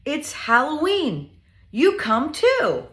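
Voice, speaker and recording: A woman voicing a cheerful little storybook truck with loud, bouncy honking exclamations. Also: high-pitched, wildly swooping pitch, slow, pitched above the performer's own voice, exaggerated voice. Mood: cheerful, inviting. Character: cheerful little blue talking truck that beeps. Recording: roomy indoor echo.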